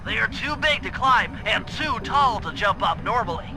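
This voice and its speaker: A man's voice: very high voice, lively intonation, normal speed, gravelly, full character voice. A man voicing a nerdy, brainy turtle planner in a cartoon video game, explaining things in a precise, technical way. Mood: businesslike. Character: nerdy, brainy male turtle gadget expert, anxious planner. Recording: voice under loud music. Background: loud game music.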